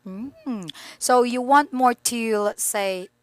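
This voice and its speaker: A woman's voice: a little high, slow, no echo. A woman teaching English in an online lesson, asking polite questions patiently over a video call.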